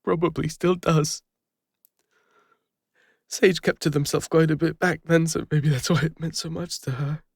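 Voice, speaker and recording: A man plays a guilt-ridden fantasy adventurer with an English accent and a slightly high, gravelly voice. He speaks in a halting, confessional way, wistful and exposed. The sound is dry and close, like an intimate audio roleplay. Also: steady tone, dry recording, exaggerated voice. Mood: fond, nostalgic. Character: Killian, guilt-ridden retired male adventurer, vulnerable, lonely, wistful.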